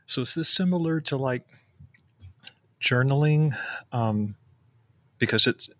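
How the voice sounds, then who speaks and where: A man's voice: medium pitch, slow pace, bright, forceful tone, dry recording. A man hosting a podcast who asks questions in a friendly, hesitant way with many ums. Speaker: podcast host. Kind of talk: conversational interview.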